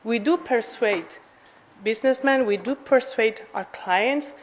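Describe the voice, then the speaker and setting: Higher-pitched Spanish-accented woman giving formal speech. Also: unhurried, rough recording. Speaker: association president, lobbyist speaker. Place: conference in a parliament hall.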